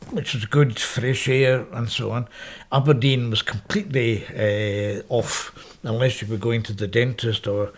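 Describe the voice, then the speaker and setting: A man in his seventies with a Scottish accent, recalling his school days in a reflective, wry interview, often correcting himself mid-sentence. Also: mid-range voice. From Scotland. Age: 70s. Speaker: interviewee, professor. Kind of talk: oral history interview, reminiscing. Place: parish house room, indoors.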